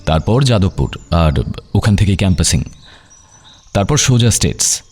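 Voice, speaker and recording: A man's voice: low-pitched, animated, normal speed, a little mellow. A man playing an indifferent expatriate home from America, offhand and peppering his talk with English phrases in an audio drama. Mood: aloof, offhand. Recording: faint music behind the voice.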